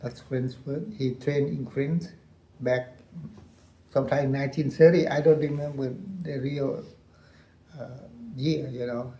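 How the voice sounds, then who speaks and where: An older man with a Lao accent, a retired colonel recounting his war years in halting English, repeating phrases and laughing often. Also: medium pitch, steady tone, vocal fry, mellow, roomy sound, poor audio. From Laos. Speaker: interview guest, veteran colonel.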